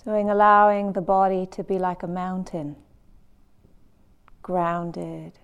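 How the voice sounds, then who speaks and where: Female meditation teacher: calm, very slow delivery in short spaced phrases, lowish pitch. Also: clear, resonant tone, dull audio. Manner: calm, unhurried, long pauses between short phrases. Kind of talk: guided meditation.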